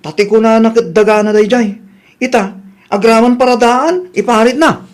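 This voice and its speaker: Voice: medium pitch, moderate pace, natural voice. An actor with a Filipino accent playing an indignant, defiant young adult in a comedy radio drama. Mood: complaining. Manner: complaining, talking back. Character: indignant grown child, defiant toward a greedy uncle.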